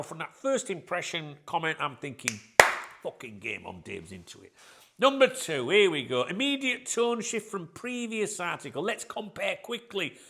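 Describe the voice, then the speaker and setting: A man, hosting his channel and chatting casually through articles, congested with a cold, swearing freely and getting louder when excited. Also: high voice, creaky. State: bunged up with a cold. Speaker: channel host. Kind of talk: casual video commentary reading articles.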